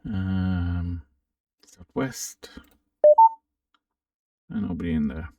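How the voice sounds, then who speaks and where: Low, creaky man talking through software. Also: drawn-out words. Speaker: presenter of a software demo. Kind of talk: casual unscripted walkthrough commentary. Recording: roomy, slightly distant microphone.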